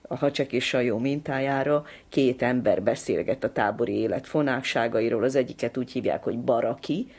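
A woman's voice: rumbling low, darkish tone, breathy edge. An older woman talks as an interviewed ethnographer. She recounts anecdotes and explains them in a conversational interview style.

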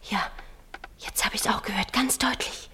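A woman in a German radio play acting a clingy, jealous twelve-year-old girl who confides in hushed, breathy, pleading tones. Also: medium pitch, moderate pace, whispered, lightly acted. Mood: hushed, eerie.

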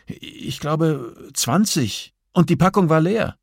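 A man's voice: on the high side, lively intonation, takes it slowly, vocal fry, dry recording, studio quality, voice pushed up, heavily acted. A man voicing an anxious female police investigator in an audiobook, flustered under pressure, hesitant and agitated as they grope for details. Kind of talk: audiobook dialogue acting.

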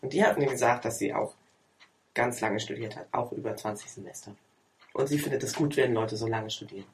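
A man's voice: medium pitch, fairly quick, slight background noise. Man, 20s, mid voice, casual self-mocking chatter, laughs a lot. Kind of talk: casual chat. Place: home, at a table over tea.

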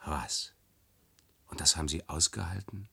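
A man's voice: a bass voice, unhurried, creaky, pushed and bright, breathy edge, exaggerated voice. An actor playing a sceptical younger trainee in a radio play, questioning and challenging in his manner. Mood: incredulous. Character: sceptical, probing younger male trainee worker.

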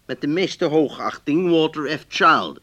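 A man's voice: on the high side, expressive, a little slow, bright, forceful tone, muffled recording, full character voice. An actor playing a polite, increasingly exasperated man reading out his formal complaint letters in a radio drama. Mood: irritated. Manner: formal, emphatic.

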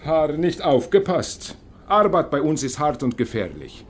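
An actor playing an evasive, stubborn ship's first officer with a Scandinavian accent and clipped, broken grammar in a radio drama. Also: male voice, a little high, very animated, measured pace. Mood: evasive, defensive.

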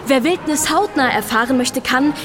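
A woman's voice: high voice, normal speed, close to an everyday voice. A woman playing an ironic museum guide, presenting to an audience in a mock-earnest theatrical monologue. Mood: mock-earnest, presentational. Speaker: stage performer. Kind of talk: theatrical monologue in a satirical revue.

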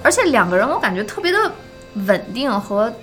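High-voiced woman chatting over music. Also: very animated, fairly quick, fairly bright tone. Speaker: live-stream host for an audio drama. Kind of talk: casual live-stream chat.

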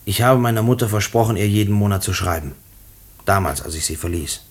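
An actor playing a condemned prisoner in a German radio play, earnest and stubborn, speaking haltingly and pleading, often repeating his words. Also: on the low side, lively intonation. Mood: explaining, earnest.

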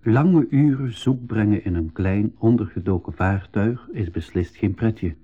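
A man narrating a radio drama as a reflective crew member, in deliberate storytelling style on a slightly dull recording. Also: fairly low pitch. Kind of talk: scripted first-person radio drama narration.

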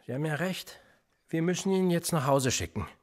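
Man playing a husky, probing police interrogator. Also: fairly high pitch, fairly quick, lightly acted. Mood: pressing. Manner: probing and insistent, pressing with pointed questions. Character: male police interrogator, calm, probing, manipulative. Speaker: police officer. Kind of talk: radio play acting.

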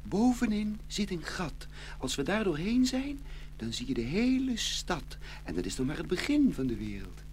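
A woman voicing a brave, gentle young porcelain chimney sweep in an audio fairy tale, tender and reassuring. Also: on the low side, very animated, normal speed, a little hiss or hum. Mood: gentle, encouraging.

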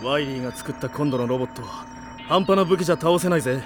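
An actor playing a cool, gruff young lone-wolf hero in a cartoon audio drama, curt, cocky and rough-spoken. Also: male voice, a little high, fast talker, over music, natural voice. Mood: cool, grave.

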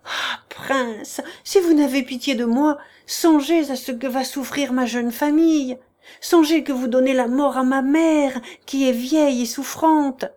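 Lady doing a sniveling con man begging for mercy, high and whiny, dragging it out. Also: unhurried, a little mellow, close to an everyday voice. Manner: pleading, tearful, wheedling. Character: groveling male swindler begging for mercy. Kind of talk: audiobook character reading.

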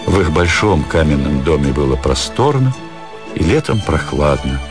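Very deep-voiced, expressive male narrator. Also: moderate pace, over music. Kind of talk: dramatic literary narration.

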